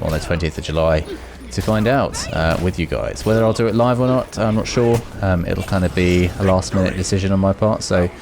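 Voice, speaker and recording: A man's voice: deep voice, a little mellow. A man hosting a gaming video, talking in a casual, chatty, rambling way as he gives his commentary. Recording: noisy with clear background noise.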